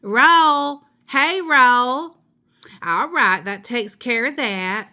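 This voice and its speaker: A woman's voice: high-pitched, very slow, bright, forceful tone, pitched above the performer's own voice, heavily acted. A woman voicing a cheerful, literal-minded, folksy woman teacher in a children's storybook, full of exclamations.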